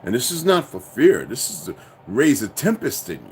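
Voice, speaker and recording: A man's voice: medium pitch, wildly swooping pitch, normal speed, a little mellow, slight background noise. A man delivering a profane, preachy, insistent monologue to his viewers. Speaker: commentator.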